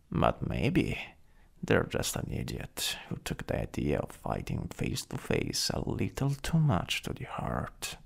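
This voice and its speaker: A man with an Italian accent playing a jealous, flirtatious supervillain in an intimate ASMR roleplay, breathy and often whispering. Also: medium pitch, full character voice. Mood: teasing, intimate. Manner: slow, teasing, lingering delivery. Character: jealous, possessive, teasing flirtatious male supervillain.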